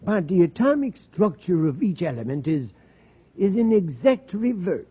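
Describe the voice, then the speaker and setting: An actor playing an earnest, excitable middle-aged professor in a 1950s radio drama, explaining things in a scholarly, slightly hesitant way. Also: male voice, sits high, normal speed, breathy edge, heavily acted. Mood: intense, explanatory. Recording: muffled tinny vintage radio recording.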